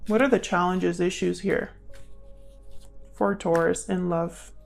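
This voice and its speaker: A woman's voice: lowish voice, fairly even intonation, slow, creaky, faint music behind. A woman giving a casual, intuitive tarot reading as a channel host, musing aloud and sometimes trailing into murmured questions.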